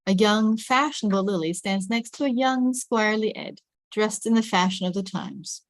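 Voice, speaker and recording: A woman's voice: medium pitch, expressive, moderate pace, dry recording. A woman reading stage directions as the narrator of a scripted drama table read.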